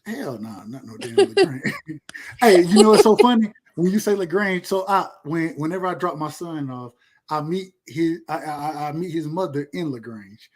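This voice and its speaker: Man, 30s, Georgia Southern accent, slightly high voice, emphatic casual podcast talk, repeats himself. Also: expressive, moderate pace. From rural Georgia, USA. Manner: emphatic, repeats phrases, slangy and profane. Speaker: podcast speaker. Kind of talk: casual chat.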